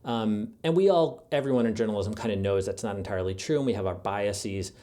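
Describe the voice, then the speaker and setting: A man's voice: lowish voice, fairly quick, dark tone. A middle-aged man speaking as an interview guest in a casual, reflective, conversational way. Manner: thoughtful, conversational. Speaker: podcast guest, journalist and writer.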